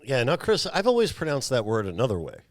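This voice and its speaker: A man's voice: mid-range voice, huge pitch swings, fast, very noisy. A man hosting a show, recapping a film in a casual, chatty style. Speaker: podcast host.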